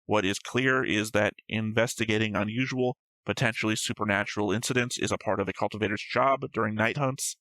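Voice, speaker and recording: A man's voice: fairly low pitch, fairly even intonation, brisk, few pauses, sharp tone, no room sound. A man hosting a reaction show, reading out notes and discussing them casually in a matter-of-fact, slightly pedantic way.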